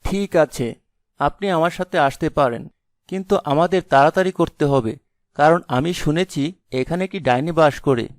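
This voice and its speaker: Kind young male villager, played by a woman, mid-low pitch, earnest, dry storybook sound. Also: moderate pace, no echo, close to an everyday voice. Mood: concerned. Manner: earnest, polite. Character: kind, cautious young village man in a folk tale.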